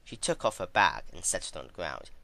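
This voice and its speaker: A man giving a dramatic scripted narration of a dark fantasy story. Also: sits low, sing-song, brisk, gravelly, no echo.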